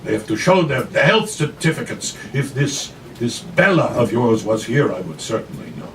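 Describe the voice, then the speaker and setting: A man's voice: mid-range voice, big pitch swings, moderate pace, a bit bright. A man voicing a shrewd, amiable, stout female brothel madam in a dramatic reading, haggling theatrically and repeating phrases for emphasis. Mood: guarded. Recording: noisy distant live café recording.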